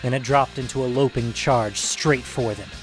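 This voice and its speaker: A man narrating a scripted fantasy story in a measured, suspenseful, dramatic audiobook manner. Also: medium pitch, fairly even intonation, music in the background, poor audio.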